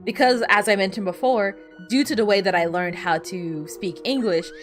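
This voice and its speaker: A woman's voice: medium pitch, normal speed, soft background music. A woman whose first language is Spanish, chatting casually with viewers while she plays a video game, rambling and often restarting her sentences. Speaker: streamer.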